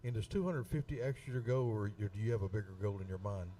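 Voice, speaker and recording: A man's voice: lowish voice, fast talker, warm tone, recorded from a distance. A man judging a youth contest, folksy and stumbling over his restarts as he asks his question. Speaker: contest judge.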